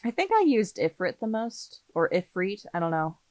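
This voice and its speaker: Female podcast co-host, mid-pitched, emphatic and opinionated in casual talk, very dry recording. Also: big pitch swings, moderate pace, a little mellow, dry recording. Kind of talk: casual gaming podcast chat.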